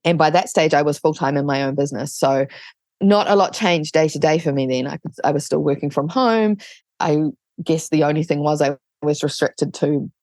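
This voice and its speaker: A woman's voice: low voice, vocal fry, a little mellow, no room sound, studio quality. A woman with a New Zealand accent, chatting casually and informally as an interview guest. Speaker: interview guest, online business coach.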